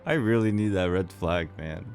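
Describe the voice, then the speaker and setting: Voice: deep voice, normal speed, darkish tone, faint music behind. A streamer giving casual, playful commentary on a game playthrough, improvising along with the story.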